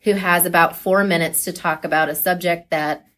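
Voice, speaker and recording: A woman talking casually and enthusiastically as a coach being interviewed, chatty and quick to laugh. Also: on the low side, fairly even intonation, a bit bright.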